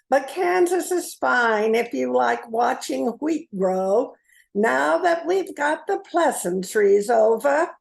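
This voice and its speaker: A no-nonsense female detective as a woman acts her in a comic radio play. Her voice is high and creaky, moving freely up and down, and she speaks at an unhurried pace with wry patience. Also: heavily acted. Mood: brisk.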